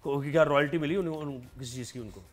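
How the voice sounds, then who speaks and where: A man co-hosts a morning show, talking fast in a fairly high voice. He fires off animated questions and jokes. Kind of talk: casual studio chat.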